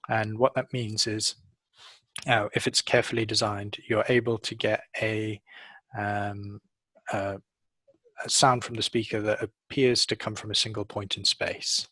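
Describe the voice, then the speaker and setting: Male engineer, middle-aged, low and flat delivery, halting technical interview answers, close headset mic. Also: no echo. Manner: hesitant, measured, pausing often. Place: office showroom, on video call.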